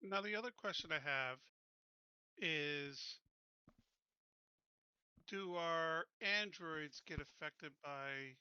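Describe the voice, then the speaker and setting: A man's voice: on the high side, slow pace, pauses often, bright, forceful tone, clear, resonant tone, no room sound, lo-fi sound. A man chatting casually and bluntly as a player in a tabletop roleplaying game.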